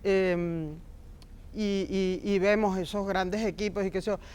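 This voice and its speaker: A woman's voice: medium pitch, fairly even intonation, a little slow, clear, resonant tone. A middle-aged woman from Argentina who was raised in Venezuela, a film script supervisor speaking passionately in an interview. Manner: warm, passionate, emphatic repetitions. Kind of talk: interview conversation.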